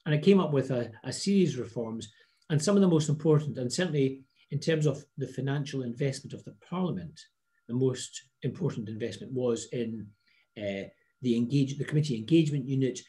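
Man, middle-aged, warm low-key tone, video call from an office, measured delivery with restarts. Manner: measured, restarts phrases often. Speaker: parliament presiding officer. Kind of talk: video-call interview answers. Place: office with blinds and a plant.

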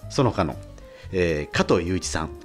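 A man hosts a casual radio show. His delivery is halting but lively in pitch, full of small agreeing interjections. Music plays behind him. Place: radio studio.

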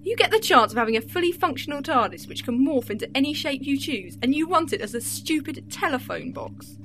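A woman's voice: high-pitched, animated, a little fast, a little hiss or hum. An actress playing a clever, sarcastic Time Lady companion in an amateur audio drama. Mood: exasperated. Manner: sharp, sarcastic, exasperated.

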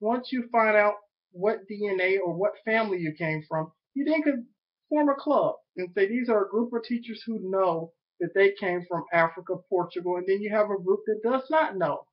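A man's voice: high voice, normal speed. Earnest young man, dull webcam audio. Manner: earnest, repetitive, self-promoting. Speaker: vlogger. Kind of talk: rambling webcam monologue. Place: small indoor room. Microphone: webcam at desk.

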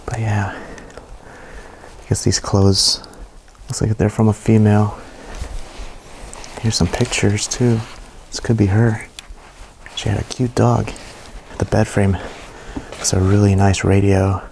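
A young man with a low, even voice talks straight to his handheld camera as he walks through a big abandoned house. He sounds hushed and amazed, reacting to each room. A faint hiss sits under the recording. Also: slow pace, creaky, long pauses. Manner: hushed and awed, reacting to what he finds. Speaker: urban explorer vlogger. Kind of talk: casual walkthrough narration to camera. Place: inside a large abandoned mansion.

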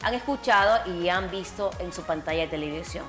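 A woman's voice: lowish voice, brisk. Woman studio co-host, talkative and opinionated, music under her voice. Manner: opinionated, conversational. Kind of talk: studio news chat. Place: TV studio, indoors.

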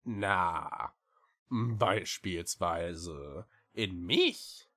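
Weary female witch for an audiobook: very low, drawling, sardonic, reluctant. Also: pushed and bright, exaggerated voice. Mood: hesitant, embarrassed. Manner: slow, drawling, grudging, sighing. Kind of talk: audiobook character voice.

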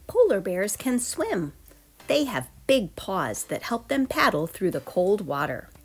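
A woman reading a children's nonfiction book aloud as storyteller, expressive and addressing young listeners directly. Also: medium pitch, unhurried, slightly rough. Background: background music.

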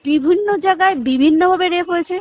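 A woman's voice: extremely high pitch, fast. A young woman with a West Bengal accent talks casually but emphatically on a recorded phone call, agitated, repeating her points and asking pointed rhetorical questions. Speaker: hospital worker speaking on a phone call. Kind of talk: casual private phone conversation.

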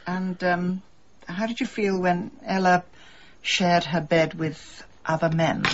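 An actress playing a shrewd middle-aged amateur sleuth in a radio drama, with a polite, tactful and proper way of talking. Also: female voice, fairly low pitch, fairly even intonation, slow, dull audio, noisy background. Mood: probing.

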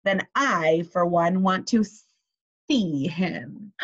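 A woman voicing a sly storybook snake with drawn-out, hissing s sounds and a deliberate manner. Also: fairly low pitch, lively intonation, dull audio, exaggerated voice. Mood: sly, curious. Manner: slow, deliberate.

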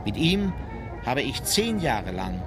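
Formal officer narrating over music. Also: male voice, mid-range voice, very animated, slow. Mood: fond, reflective. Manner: formal, composed. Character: sober male naval officer recalling a voyage from his memoirs. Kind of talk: measured diary-like narration in a radio play. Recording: music bed under the voice.

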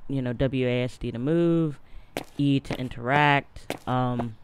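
A young adult gaming streamer with a partly lost voice, chatting casually and reading game text aloud into a close boom mic in a small home room. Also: a little slow, a little hiss or hum. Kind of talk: casual let's-play commentary. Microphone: boom mic on arm, close.

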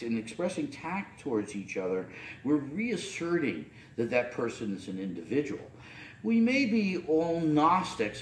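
A man's voice: mid-range voice, a little hiss or hum. Middle-aged man preaching in a roomy chapel. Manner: loose, unscripted preaching with many fillers and restarts. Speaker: priest. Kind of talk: sermon. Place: small chapel, at a pulpit. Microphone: camera at a distance. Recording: roomy, distant camera audio.

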